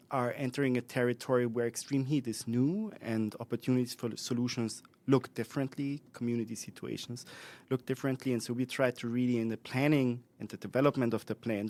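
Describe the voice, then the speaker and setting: A man's voice: mid-range voice, a little mellow. A man with an Austrian accent speaks as a guest expert in a conversational interview, thinking aloud with frequent fillers and self-corrections. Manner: thoughtful, with many fillers and restarts.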